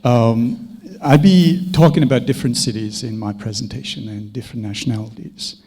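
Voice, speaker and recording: Mellow-voiced male lecturer, hesitant. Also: mid-range voice, moderate pace, husky. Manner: hesitant, many fillers. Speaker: academic speaker. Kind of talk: conference lecture. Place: seminar hall.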